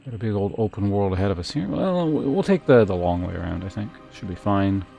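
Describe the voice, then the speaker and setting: Male game streamer, lowish voice, casual think-aloud commentary over background game music. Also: sing-song, fairly quick, vocal fry, warm tone. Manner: thinking aloud, muttering to himself.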